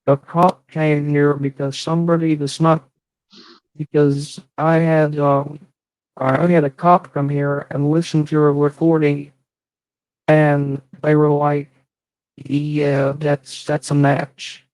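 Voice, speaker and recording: A man chatting casually on a live stream, halting and rambling, swearing often. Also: fairly high pitch, flat delivery, a little mellow, no echo, poor audio.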